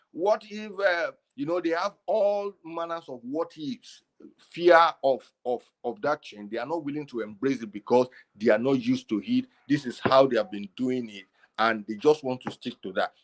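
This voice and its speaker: A man hosting an online discussion show, speaking in a halting way with repeated words. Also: medium pitch. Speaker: show host.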